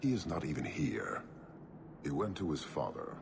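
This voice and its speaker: An actor playing a towering, stern, disdainful inhuman warrior commander in a video game, speaking in grave, formal statements. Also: male voice, a bass voice, huge pitch swings, vocal fry, noisy background, exaggerated voice. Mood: stern, dismissive. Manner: deliberate, grave, declaring in clipped formal statements. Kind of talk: video game cutscene acting.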